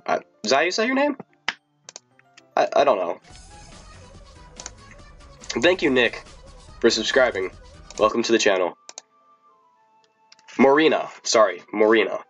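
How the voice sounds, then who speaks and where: A man's voice: very animated, a little slow, long pauses, fairly bright tone, highs cut off, soft background music. A sick man live-streaming, chatting casually to viewers and stumbling over his words. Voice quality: deep voice. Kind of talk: casual live-stream chat and reading aloud.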